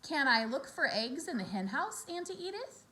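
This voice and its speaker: Woman as a high, bossy little girl. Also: very animated, normal speed, pushed and bright, full character voice. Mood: insistent. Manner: exasperated, insistent. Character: bossy, complaining young farm girl.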